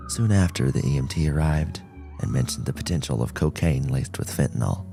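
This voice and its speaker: A man's voice: a bass voice, fairly quick, vocal fry, warm tone, faint music behind. A man reading a scripted story as an audiobook narrator.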